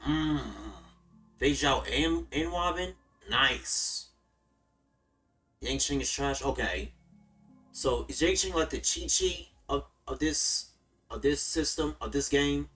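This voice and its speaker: Chill dude from the us streaming a game, rambles and repeats himself, mic kinda far, game music low behind. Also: male voice, takes it slowly, fairly bright tone. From United States. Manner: laid-back, rambling, repeats words. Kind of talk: casual gaming stream commentary.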